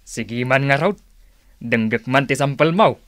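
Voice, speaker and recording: A man's voice: mid-range voice, measured pace, a bit bright, no echo, studio quality, lightly acted. An actor with an Ilocano accent playing a teasing male buddy in a comedy radio drama. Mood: teasing, impressed. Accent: Filipino, Ilocano. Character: teasing male buddy egging on his lovestruck friend.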